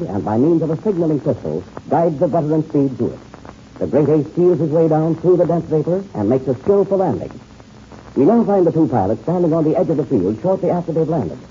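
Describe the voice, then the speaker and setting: A man's voice: on the high side, dull audio, a little hiss or hum. A man narrating an adventure serial as a 1930s radio announcer, florid and theatrical, on an old radio recording.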